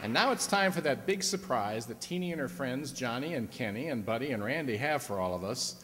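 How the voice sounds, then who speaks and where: A man's voice: medium pitch, expressive, a little fast, a bit bright. A man announcing on stage as the host of a live radio play. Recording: echoey hall, audience and background noise.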